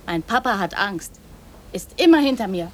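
A woman acting in a radio drama as a naive, trusting, affectionate girl who speaks in halting, simple childlike phrases. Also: medium pitch, animated, natural voice. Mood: chatty, proud.

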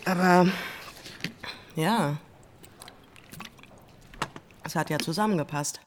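A woman narrating history in a scripted radio play, measured and dryly ironic, as one half of a dialogue. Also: on the low side, a little hiss or hum. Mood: wry.

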